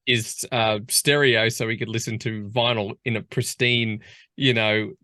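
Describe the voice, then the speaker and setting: A man's voice: fairly low pitch, steady tone, measured pace, pushed and bright, dry recording, studio quality. An Australian man hosting a radio interview, asking long, rambling, chatty questions. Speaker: radio host.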